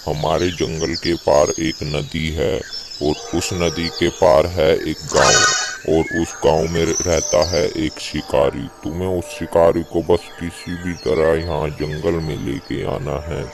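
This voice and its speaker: A man voicing a wise, wily old lion king in a cartoon fable, with a gravelly voice. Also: very deep voice, level tone, slow pace, full character voice. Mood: calmly persuasive. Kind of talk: Hindi animated moral story dialogue. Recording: faint background noise.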